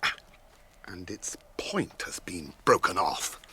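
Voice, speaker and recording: An actor playing a grave, watchful ranger in a radio drama, with a British accent and solemn, measured phrasing. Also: male voice, sits low, very animated, sharp tone, slight background noise, full character voice. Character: grave, watchful ranger guiding hunted companions.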